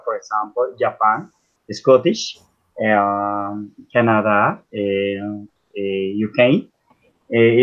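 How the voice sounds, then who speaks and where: A man with a Colombian Spanish accent slowly answering exam questions in English over a video call, searching for words with many fillers. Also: on the low side, dull audio. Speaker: primary school English teacher, exam candidate. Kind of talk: halting second-language answers.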